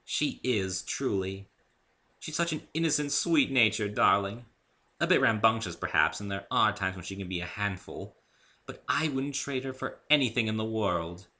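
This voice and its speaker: A man voicing a refined, theatrical female unicorn fashion designer with genteel, drawn-out emphasis in a story reading. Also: lowish voice, expressive, bright, forceful tone. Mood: fond, gentle.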